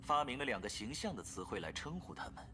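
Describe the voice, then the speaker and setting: An actor playing an urbane, scheming leader and scientist in a video game, speaking formally and with theatrical self-assurance. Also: male voice, medium pitch, big pitch swings, fast. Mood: self-assured, formal. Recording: loud music behind the voice. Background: game music.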